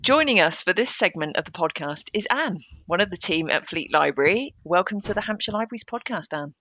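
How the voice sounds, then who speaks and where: A woman's voice: lowish voice, animated, fairly quick, pushed and bright, dry recording. British woman podcast host, dry sound. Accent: British English. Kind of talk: scripted podcast link.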